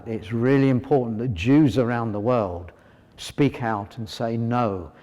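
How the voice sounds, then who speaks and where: A man giving deliberate, earnest interview answers. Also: mid-range voice, warm tone, long reverb tail, highs cut off.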